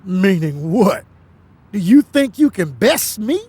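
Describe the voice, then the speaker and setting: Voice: medium pitch, big pitch swings, slow pace, mellow, husky, exaggerated voice. An actor playing an indignant, scornful official who rebukes commoners in declamatory, mocking speeches in a theatrical audio drama.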